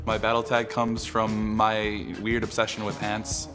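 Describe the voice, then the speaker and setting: Relaxed young male player. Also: on the low side, unhurried, over music. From California. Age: 20s. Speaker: tournament player.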